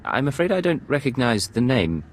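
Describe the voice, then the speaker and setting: An actor playing a cool, probing secret agent in a video game, polite, clipped and businesslike. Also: male voice, mid-range voice, sing-song, moderate pace, a little hiss or hum, natural voice. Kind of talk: video game dialogue acting.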